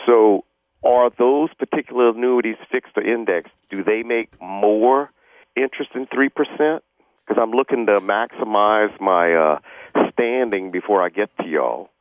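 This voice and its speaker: A sixty-five-year-old man with a drawl calls in over a thin, tinny phone line. His voice sits fairly low, and he gropes for words with frequent "uh"s and the odd throat clearing. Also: measured pace, dry recording. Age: 60s. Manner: hesitant, many "uh" fillers, throat clearing. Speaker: caller. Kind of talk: call-in question on a radio show.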